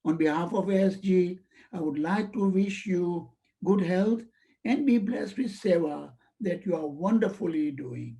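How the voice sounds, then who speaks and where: A man's voice: high voice, measured pace, warm tone. A man whose first language is Swahili, giving a formal, ceremonious vote of thanks over a webinar call.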